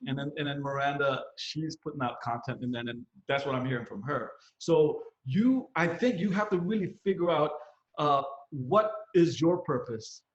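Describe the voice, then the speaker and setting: A man's voice: on the high side, normal speed. Middle-aged man in an echoey room. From Philippines, moved to the US at nine, San Francisco Bay Area. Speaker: podcast host, parent of young adults. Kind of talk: casual family podcast talk, giving advice. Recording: roomy echo, slightly dull sound.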